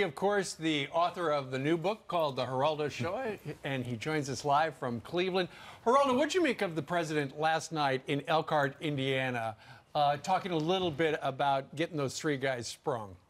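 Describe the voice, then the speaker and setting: A male anchor in a TV studio introduces a guest with brisk, smooth host delivery, then asks the opening question. His voice sits slightly high. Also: animated, fairly bright tone. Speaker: news anchor. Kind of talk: live TV news introduction.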